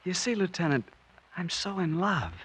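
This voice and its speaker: An actor playing an earnest, lovestruck young French resistance fighter with a French accent in an old-time radio drama. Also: male voice, sits high, lively intonation, normal speed, a bit bright, a little hiss or hum. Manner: earnest, impulsive, deferential. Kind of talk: 1940s-50s radio drama acting.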